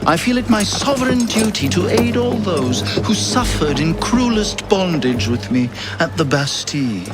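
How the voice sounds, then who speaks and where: A flamboyant french nobleman, sly n amused, florid swoopy talk, game cutscene w loud music. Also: sits high, big pitch swings, moderate pace, few pauses. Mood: amused, grandiose. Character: sly, theatrical, flamboyant male French aristocrat schemer. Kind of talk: video game cutscene acting.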